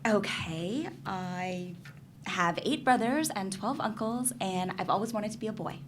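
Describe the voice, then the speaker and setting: A woman's voice: mid-range voice, a little slow, a little hiss or hum, close to an everyday voice. An actress reading the part of a candid, skeptical girlfriend in a staged reading of a novel, in a conversational, questioning way. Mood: shy, playful. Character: candid, curious, skeptical girlfriend probing her lover's secrets.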